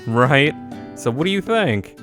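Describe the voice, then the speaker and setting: A man's voice: mid-range voice, sing-song, slow pace, a bit bright, faint music behind, voice pushed up. Man reading as a playful, eager teenage schoolgirl. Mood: eager, teasing.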